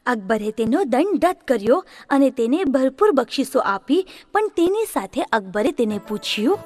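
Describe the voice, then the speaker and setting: A woman telling a children's story with a lively, expressive delivery. Her voice sits very high and swings widely up and down. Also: moderate pace, no room sound, clean studio sound, faint music behind. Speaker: narrator.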